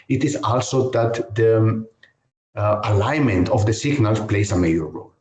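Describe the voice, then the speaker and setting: A man from Spain with a Spanish accent, a university professor giving a technical webinar lecture in a measured, careful way with frequent hesitations. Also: mid-range voice, a little mellow. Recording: echoey online call audio.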